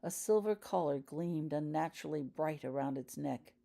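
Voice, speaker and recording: A woman's voice: low-pitched, moderate pace, creaky, warm tone. A woman reading a dramatic fantasy story aloud as a scripted audiobook narration. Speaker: author reading own fan fiction audiobook.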